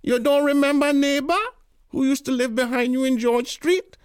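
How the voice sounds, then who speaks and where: A man voicing a loud, bossy elderly island woman who scolds and shrieks. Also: level tone, normal speed, smooth. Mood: loud delighted. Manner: shrill, brash, scolding.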